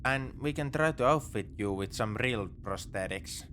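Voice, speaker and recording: A man playing a begrudgingly soft-hearted space pirate captor in a sci-fi audio roleplay, speaking to the listener in an exasperated, dismissive, teasing way. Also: medium pitch, animated, moderate pace, slight background noise, natural voice. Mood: wry, practical. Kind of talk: sci-fi ASMR roleplay acting, addressing the listener.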